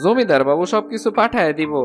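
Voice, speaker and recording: A man's voice: sits high, expressive, a little fast. A man playing a landlord's busy, businesslike steward in a cartoon fairy tale, speaking a dialect. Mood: brisk, businesslike. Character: landlord's male steward, brisk village official. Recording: background music under the voice.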